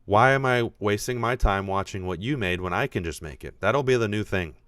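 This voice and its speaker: A man with a deep, low voice talks casually on his own podcast. He gets animated and thinks aloud, often restarting his sentences. The sound is dry, with no room echo. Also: brisk, clean tone. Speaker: podcast host. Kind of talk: casual live podcast talk.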